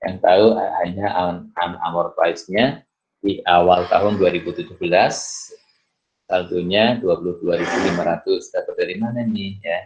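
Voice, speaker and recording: Very low-voiced man tutoring step by step. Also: steady tone, normal speed, a little mellow, muffled recording. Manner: step-by-step, often asks himself questions then answers. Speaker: accounting lecturer. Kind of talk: informal worked-solution tutorial, thinking aloud.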